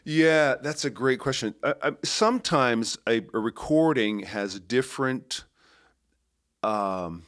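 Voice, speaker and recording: Lively-pitched worship leader man. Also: slow pace. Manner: animated, sings snippets and stammers when thinking. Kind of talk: casual podcast conversation.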